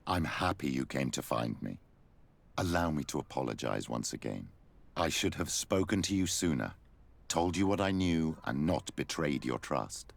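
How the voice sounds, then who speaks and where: A man's voice: very deep voice, expressive, normal speed, full character voice. An actor playing a sly, ambitious old clergyman burdened by guilt, speaking formally and theatrically in a video game. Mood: solemn. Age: older. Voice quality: gravelly, husky. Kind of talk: video game cutscene acting.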